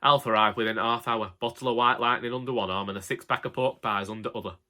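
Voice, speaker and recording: Man playing an elderly Northern English husband, long-suffering, deadpan grumbling, bright forward tone, comic storybook monologue. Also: mid-range voice, quick pace, close to an everyday voice. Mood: grumbling, wry. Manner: colloquial, wry, deadpan grumbling. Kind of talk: first-person comic monologue, audiobook narration.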